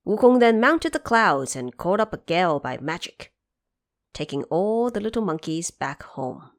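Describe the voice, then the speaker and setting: A woman narrating a scripted fantasy tale in a dramatic, expressive storytelling manner. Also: lowish voice, a little slow.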